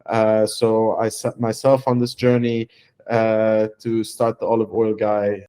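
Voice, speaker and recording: A young man from Lebanon, a startup founder giving a testimonial interview, speaking haltingly with many fillers and restarts. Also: fairly low pitch, little pitch movement, mellow, smooth.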